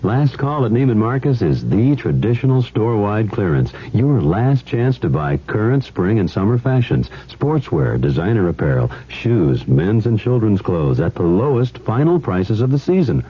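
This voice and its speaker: A man's voice: on the low side, very animated, normal speed, hardly any pauses, husky. A man reading a scripted radio commercial as an announcer. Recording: muffled old radio broadcast.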